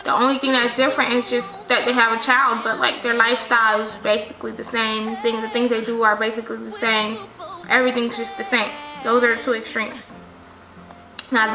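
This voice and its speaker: A woman's voice: on the high side, bright, forceful tone, roomy sound, rough recording. A woman in her twenties talking casually to camera, rambling and correcting herself often. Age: 20s. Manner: rambling, frequent self-corrections and "like" fillers. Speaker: vlogger. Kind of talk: casual opinion monologue.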